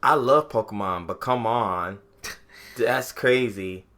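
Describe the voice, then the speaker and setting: A man's voice: on the low side, slow pace, fairly bright tone. A man co-hosting a podcast in casual chat, with slangy, profane banter. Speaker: podcast co-host.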